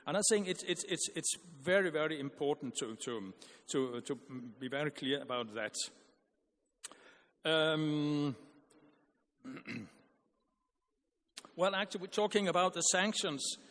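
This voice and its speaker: A man giving an earnest, argumentative conference panel speech, halting with frequent restarts and repeated words. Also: a little high, lively intonation, fairly bright tone, in a large hall.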